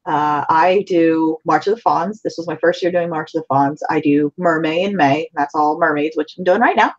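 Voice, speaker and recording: A woman's voice: low-pitched, moderate pace, dull audio. A woman artist chatting casually on a livestream, talkative and easy-going. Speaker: artist, co-host.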